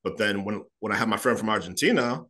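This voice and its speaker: A man in his thirties from Chicago, telling his story as an interview guest over a video call, animated and gesturing as he talks. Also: on the low side. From Chicago, United States. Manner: fast, animated, gestures a lot while talking. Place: small room at home.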